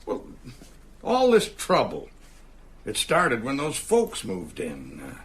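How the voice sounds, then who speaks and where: A husky small-town American lawman for a radio play. He is folksy and wary, probing in a hesitant, colloquial way, with pitch that rises and falls freely. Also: huge pitch swings, slow pace, fairly bright tone, exaggerated voice. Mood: sly, chatty. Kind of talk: radio drama acting.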